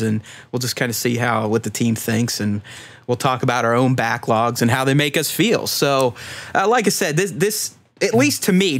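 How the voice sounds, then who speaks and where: A man's voice: medium pitch, a bit bright. A man in his thirties hosting a casual panel discussion, speaking informally and freely swearing. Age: 30s. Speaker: podcast host.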